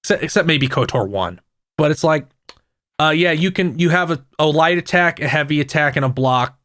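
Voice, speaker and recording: A man co-hosting a casual gaming podcast, talking in a rambling way with frequent restarts and quick asides. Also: fairly high pitch, expressive, a bit bright, no room sound, studio quality. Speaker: podcast co-host.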